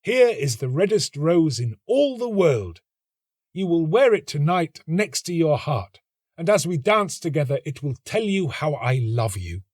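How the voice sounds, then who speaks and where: A man voicing a lovestruck, excitable young student in a storybook, exclamatory and earnest. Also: a little high, unhurried, dry recording, studio quality, voice pushed up. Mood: eager, romantic.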